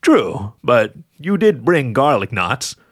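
A man's voice: medium pitch, very animated, takes it slowly. A man voicing a cheeky, flirty male fox who gets defensive and stammers playfully in an audio story. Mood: teasing. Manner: stammering, hesitant when embarrassed, playful.

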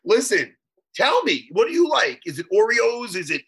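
High, lively, husky-voiced joking man. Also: moderate pace. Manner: joking, teasing banter. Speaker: podcast co-host. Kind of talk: casual chat.

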